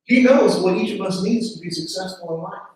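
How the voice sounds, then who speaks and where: A man's voice: high-pitched, brisk, a little mellow. A man preaching a sermon as pastor, earnest, often repeating words as he starts a phrase. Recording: very echoey room from a distance.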